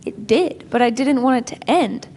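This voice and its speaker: A sincere young niece, played by a woman, eager and earnest with a fairly high voice. She talks a little haltingly in a natural drama style, with faint noise in the background. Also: a little mellow, close to an everyday voice. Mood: earnest, glad.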